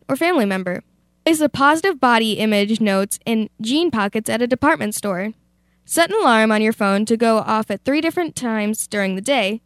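Higher-voiced young female radio presenter. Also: dry recording. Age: teenager. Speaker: youth radio show presenter. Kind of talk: scripted radio narration and casual chat.

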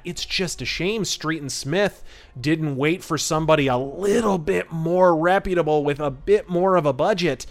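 A man hosting a podcast, giving conversational film commentary in a chatty, discursive way with frequent asides. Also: fairly high pitch. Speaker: podcast host.

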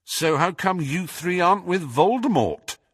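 A man's voice: high-pitched, expressive, unhurried, fairly bright tone, breathy edge, dry recording, studio quality, voice pushed up, full character voice. A man voicing a brave, wry, mocking teenage boy hero in a storybook reading. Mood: wry, stalling.

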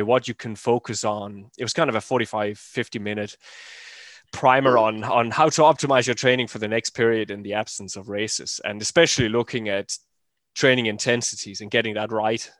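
Hoarse male running coach, explanatory guest, dry close sound, tends to restart phrases. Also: medium pitch, a bit bright, dry recording. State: slightly hoarse.

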